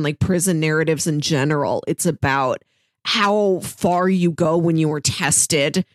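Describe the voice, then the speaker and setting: A woman's voice: lowish voice, dry recording, clean studio sound. A woman co-hosting a podcast, talking in a casual, analytical way. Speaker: podcast co-host.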